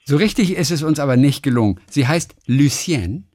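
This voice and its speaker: A man's voice: fairly high pitch, expressive, moderate pace, darkish tone, slight background noise. A middle-aged man co-hosting a radio show, chatting casually and rambling as he searches for words. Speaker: radio host. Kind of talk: casual on-air chat.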